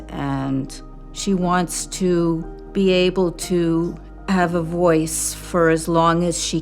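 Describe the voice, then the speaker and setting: A woman speaks slowly and evenly about her mother in a documentary interview. Her voice is low and quite flat in intonation, with soft music faintly behind. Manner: slow, even and thoughtful. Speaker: interviewee, family member.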